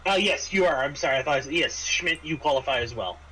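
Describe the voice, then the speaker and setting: A man running a tabletop game as game master, narrating off the cuff in a halting way with repeated words. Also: fairly high pitch, a loud crowd. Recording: noisy home microphone.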